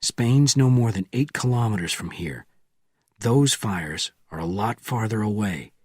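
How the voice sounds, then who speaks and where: A man voicing an excitable young male Soviet officer who talks loud and urgent. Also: on the low side, unhurried, slightly rough, no room sound, studio quality. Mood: urgent, shouting.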